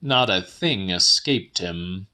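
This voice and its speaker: Slow, bright-voiced man narrating a novel. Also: deep voice, expressive. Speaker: audiobook narrator. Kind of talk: scripted, slightly dramatic literary narration.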